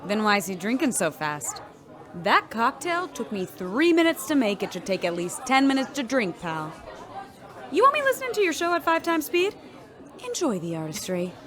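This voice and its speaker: An actress playing a gruff, protective bartender with a blunt, dry, scolding manner in a sci-fi radio drama. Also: female voice, on the high side, big pitch swings, moderate pace, a bit bright, a faint crowd.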